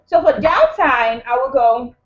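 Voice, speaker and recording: A chatty woman from Nigeria, co-hosting a loose, joking conversation. Her voice sits a bit high and comes through a dull, lo-fi recording. The room around her is echoey. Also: expressive, measured pace. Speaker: co-host. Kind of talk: casual chat.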